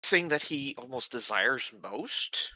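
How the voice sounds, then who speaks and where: A man playing a tabletop roleplaying game, talking casually and haltingly as he thinks aloud. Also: medium pitch, animated, pushed and bright, dry recording, muffled recording.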